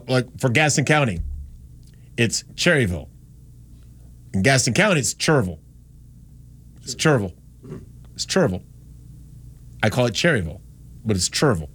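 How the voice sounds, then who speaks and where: Radio host guy from north carolina, rambly and chatty, keeps correcting himself, studio mic. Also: medium pitch. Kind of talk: casual on-air talk.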